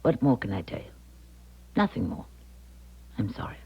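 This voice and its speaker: An actress playing a mature, composed clairvoyant in a 1970s radio drama, grave and motherly, with measured, formal, theatrical delivery. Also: rumbling low, very animated, creaky, slightly rough, full character voice. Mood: resigned, tender. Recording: dull 1970s radio broadcast recording.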